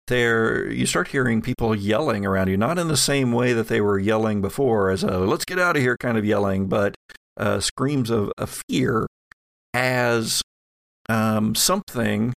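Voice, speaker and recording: Man, game master, creaky voice, halting improvised narration, dry sound. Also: mid-range voice, no echo. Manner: halting, searching for words.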